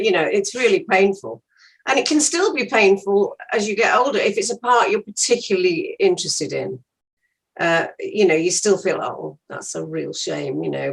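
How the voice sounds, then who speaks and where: A woman's voice: mid-range voice, low-quality recording. An older woman, an interview guest, talking frankly and emphatically in casual conversation.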